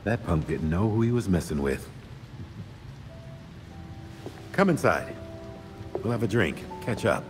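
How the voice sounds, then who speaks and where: An actor playing a weary, kindly older colonel in a game cutscene, with plain-spoken delivery. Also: male voice, sits low, lively intonation, normal speed, music in the background. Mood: friendly. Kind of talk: naturalistic video game cutscene acting.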